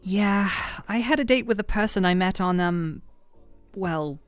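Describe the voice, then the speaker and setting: A woman's voice: medium pitch, a little slow, dry recording, lightly acted. A woman playing a playful, affectionate young best friend in an ASMR roleplay, chatting casually and teasing her listener. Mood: chatty, exasperated. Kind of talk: ASMR roleplay audio, conversational acting. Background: soft background music.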